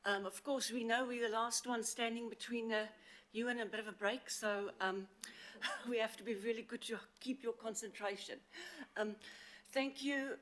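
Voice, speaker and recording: A woman with an accent from outside the city presents to a council committee on a handheld mic, earnest and halting with frequent fillers. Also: a little high, fairly even intonation, fairly bright tone. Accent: non-local accent. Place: council meeting chamber. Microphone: handheld microphone.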